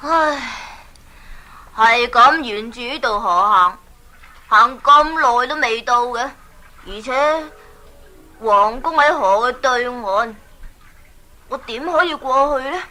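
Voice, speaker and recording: A woman's voice: fairly high pitch, slow, long pauses, bright, forceful tone. A woman playing an earnest, plucky boy adventurer in a Cantonese children's radio drama, with a Hong Kong accent and a wide-eyed, exclamatory delivery. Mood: curious, determined.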